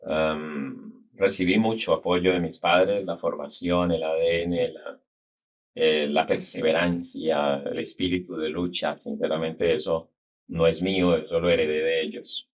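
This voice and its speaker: A middle-aged Colombian man with a Cali-area accent, a guest telling his life story in a measured, reflective way. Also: a bass voice, flat delivery. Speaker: guest, business consultant. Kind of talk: casual interview talk.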